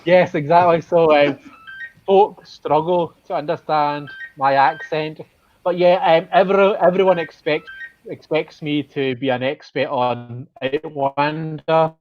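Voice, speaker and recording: A man's voice: a little high, fairly even intonation, measured pace, a little hiss or hum. A man from Glasgow with a Glaswegian accent, a guest in a casual livestream chat, talking hesitantly with plenty of ums and a self-deprecating tone. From Glasgow, Scotland. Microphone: video call webcam. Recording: dry, muffled video call audio.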